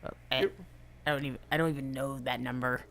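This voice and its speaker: A woman's voice: very deep voice, steady tone, quick pace, vocal fry, a crowd in the background. A woman bantering casually and playfully as a player in a tabletop role-playing game.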